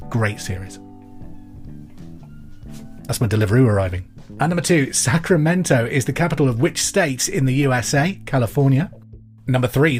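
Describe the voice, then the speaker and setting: A man's voice: mid-range voice, darkish tone. A man with a British accent hosting a pub quiz podcast in an informal, chatty way with jokey asides.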